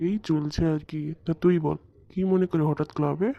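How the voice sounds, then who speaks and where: A man's voice: on the high side, steady tone, moderate pace, vocal fry, no room sound, lo-fi sound. A man voicing an easygoing big-brother figure among idle friends in a comic cartoon, casual and peacemaking. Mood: relaxed. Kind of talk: comic Bengali cartoon acting.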